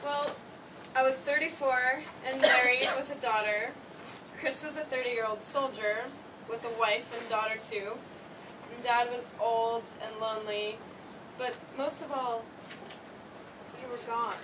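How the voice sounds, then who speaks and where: A distant, noisy stage recording carries a high-pitched young woman played by an actress. She is an earnest, emotional college student in a family play, pleading with her mother. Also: steady tone, unhurried, lightly acted.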